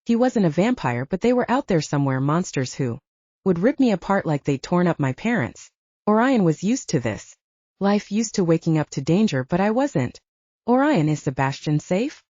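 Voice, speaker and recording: A woman reads first-person fiction with a lively, swooping pitch. Her tone is soft-edged and mellow. The recording is very dry and a little dull. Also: normal speed, no echo. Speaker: audiobook narrator.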